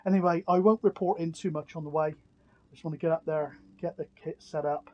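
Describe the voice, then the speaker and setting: Higher-voiced man, casual outdoor vlogger. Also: fairly even intonation, brisk, dull audio. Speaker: CB radio operator and vlogger. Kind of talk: casual vlog talk to camera and radio chat. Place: outdoors on open hillside in countryside.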